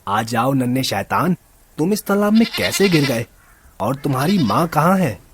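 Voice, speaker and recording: An actor voicing a kind young village man who loves animals and talks in a soft, tender way in an animated storybook. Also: medium pitch, normal speed, slight background noise, close to an everyday voice.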